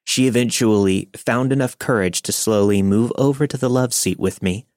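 A man hosting a podcast, reading a listener's story aloud in a steady, measured way. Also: medium pitch, no room sound, studio quality. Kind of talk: scripted narration.

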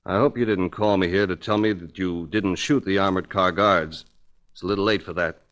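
World-weary sober sleuth, very low voice, measured old-time radio crime acting. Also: male voice, steady tone, fairly bright tone. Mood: firm. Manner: grave, measured. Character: sober, world-weary male investigator telling his case. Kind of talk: 1940s radio acting, hard-boiled narration.

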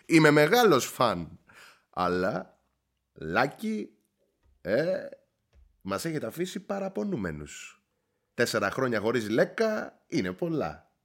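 A stammering young journalist. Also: male voice, medium pitch, wildly swooping pitch, gravelly, long pauses, heavily acted. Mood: eager. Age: young adult. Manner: stammering, hesitant, then eager. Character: nervous young male journalist, starstruck fan. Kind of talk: radio play acting.

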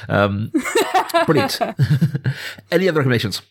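A man around his thirties or forties hosting a casual film discussion, talking enthusiastically in a halting, discursive way. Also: mid-range voice, huge pitch swings, husky. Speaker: podcast host.

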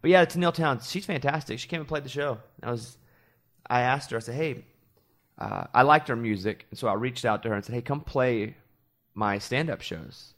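A man of ordinary pitch hosting a casual podcast, talking in a loose, rambling way. He is from Arkansas and strings stories together with easygoing asides, in the relaxed manner of a morning show regular. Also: moderate pace. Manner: rambling, chatty storytelling with self-deprecating asides. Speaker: radio morning show host.

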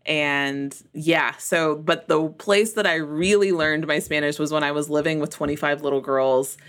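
A woman's voice: sits low, steady tone, normal speed, a bit bright, clean tone. A woman from the United States with an American accent, answering conversationally as an interview guest and often laughing as she talks. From Memphis, United States. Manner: laughs often while talking. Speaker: interview guest in church ministry.

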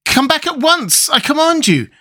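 A man's voice: a little high, sing-song, fairly quick, a bit bright, pitched above the performer's own voice. A man voicing an earnest, anxious young boy with a bossy streak in a storybook adventure. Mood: frightened, commanding.

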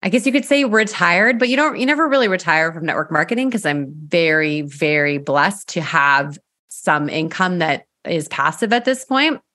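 A woman's voice: lowish voice, animated, normal speed, sharp tone. A middle-aged woman hosting a podcast, talking in a conversational, affirming way. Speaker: podcast host. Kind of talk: casual interview talk.

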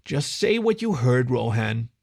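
A man's voice: medium pitch, very animated, a little slow, vocal fry, darkish tone, dry recording, clean studio sound, heavily acted. Man playing a bossy young cat club leader. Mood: formal. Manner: commanding, formal.

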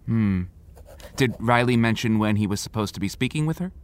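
Man playing a calm, firm small-town sheriff. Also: fairly low pitch, a little fast, clear, resonant tone, natural voice. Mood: questioning. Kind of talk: naturalistic audio drama acting.

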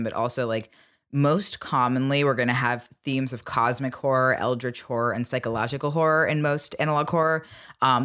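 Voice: mid-range voice, a bit bright, smooth. A podcast co-host talking casually and analytically, explaining things point by point.

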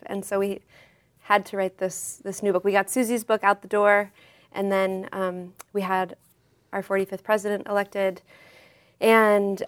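A woman's voice: mid-range voice, smooth. A woman author and artist, a podcast guest speaking in a reflective, earnest way. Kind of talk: podcast interview.

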